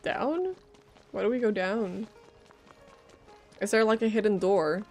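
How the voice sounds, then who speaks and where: A woman streams a game in a casual, reactive style, switching between commentary and reading aloud. Her voice is mid-pitched with a lingering cough, and game music plays behind it. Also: lively intonation, slow pace, a little mellow. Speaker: livestreamer.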